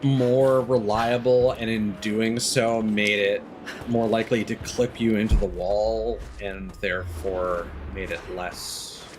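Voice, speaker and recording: A man's voice: on the low side, little pitch movement, slow, noisy background. A man giving casual live commentary as he streams a video game, thinking aloud hesitantly.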